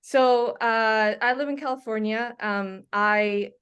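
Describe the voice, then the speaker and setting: A woman's voice: a little high, takes it slowly, fairly bright tone, smooth, muffled recording. A middle-aged woman from Denmark, a doctor and researcher, talking through her background as an interview guest, with frequent ums and restarts. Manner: chatty, many ums and restarts. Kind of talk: conversational interview.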